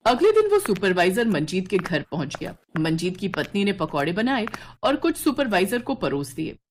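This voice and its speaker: A woman's voice: medium pitch, big pitch swings, moderate pace. Woman narrating a story, noisy recording.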